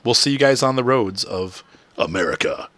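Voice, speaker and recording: A man in his thirties chats his way through a driving game from home, rambling with plenty of ums and soft laughs. His voice has a bright, tense edge and a faintly crackly roughness, sitting at an ordinary pitch for a man. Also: lively intonation, moderate pace. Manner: rambling, chatty, with frequent fillers and soft laughs. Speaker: gaming channel host. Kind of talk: casual live-stream gameplay commentary.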